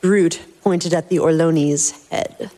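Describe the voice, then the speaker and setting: A woman's voice: low voice, steady tone, takes it slowly, heavy background noise. A woman reading scripted story narration expressively as a podcast host.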